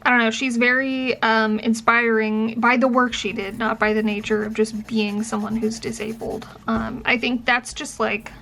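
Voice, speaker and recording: A woman's voice: a little high, little pitch movement, normal speed, creaky, hardly any pauses, a bit bright, a little hiss or hum, low-quality recording. A young adult woman talking casually to camera, chatty and full of fillers and restarts. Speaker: vlogger and professor. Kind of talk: casual chat.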